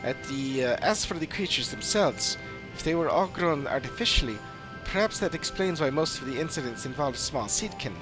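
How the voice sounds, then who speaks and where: Measured fantasy game investigator, played by a man, formal, deliberate, music underneath. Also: fairly high pitch, lively intonation, natural voice. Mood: analytical. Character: measured fantasy investigator piecing clues together. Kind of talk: scripted game dialogue reading.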